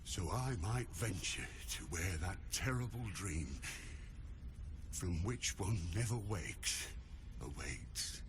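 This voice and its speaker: A man's voice: low-pitched, animated, background noise. A man playing a solemn, anguished being who revels in pain, speaking grave, archaic lines in a video game cutscene. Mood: weary, ominous. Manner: slow, grave, archaic declamation.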